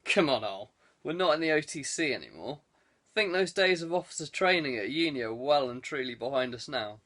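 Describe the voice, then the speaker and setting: A man's voice: fairly high pitch, animated, a little fast, a bit bright. A man voicing a wry, teasing saboteur given to sardonic banter in an audiobook. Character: wry, teasing male saboteur, ribbing his friend.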